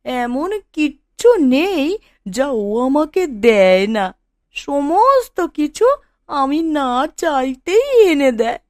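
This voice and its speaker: Woman acting a high-voiced, boastful married daughter. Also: big pitch swings, slow pace, no echo, clean studio sound, heavily acted. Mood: smug. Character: well-off married elder daughter, smug, scornful of her sister. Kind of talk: Bengali cartoon voice acting.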